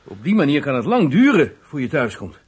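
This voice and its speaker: Husky grown man pushing for answers, terse, pitch moves around a lot. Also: huge pitch swings, fairly quick, a little mellow, full character voice. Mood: insistent. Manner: terse, pressing questions. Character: insistent, probing adult male questioner. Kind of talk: radio play acting.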